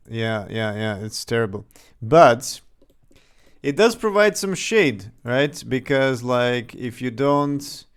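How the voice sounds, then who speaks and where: A man's voice: mid-range voice, clean tone. A young man from Serbia with a slight Serbian accent, casually thinking aloud and chuckling as he talks through his game development on a livestream.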